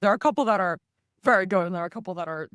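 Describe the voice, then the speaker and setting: A podcast host whose first language is Russian, explaining in a measured, chatty way during a casual interview. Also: mid-range voice, a bit bright, no echo, clean studio sound.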